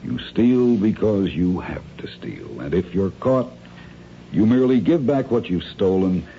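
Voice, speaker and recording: An actor playing a calculating crime schemer in a radio mystery, measured, formal and condescending, with cool menace. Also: male voice, sits low, lively intonation, dark tone. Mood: coolly needling. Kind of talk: radio mystery drama acting. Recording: dull, hissy old radio recording.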